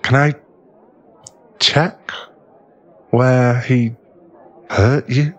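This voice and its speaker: A man playing a young werewolf bar owner in an ASMR boyfriend roleplay, teasing but secretly tender, with a halting, stammering delivery. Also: medium pitch, steady tone, lo-fi sound. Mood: soothing, gentle.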